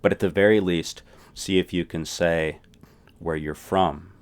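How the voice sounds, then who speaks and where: A man teaching a recorded language lesson. His voice sits very low, and he walks through each point patiently, often checking in with "right?" as he goes. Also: fairly even intonation, measured pace.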